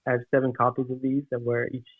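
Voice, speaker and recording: A young man calling in by video to a podcast, joking in a deadpan, dry way. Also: mid-range voice, fast. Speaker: guest on a call. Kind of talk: casual chat. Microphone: video call. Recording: dull lo-fi call audio.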